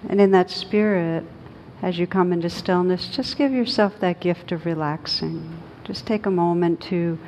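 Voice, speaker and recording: A woman with a fairly low voice leads a guided meditation for a group. She speaks gently and without hurry, leaving long pauses between phrases. The recording has clear background noise with a low rumble. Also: poor audio. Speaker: meditation teacher.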